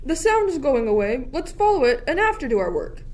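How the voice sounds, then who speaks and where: A woman's voice: sits high, big pitch swings, fairly quick, a little mellow. A woman playing a timid, fearful follower in a Shakespearean radio play. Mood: nervous.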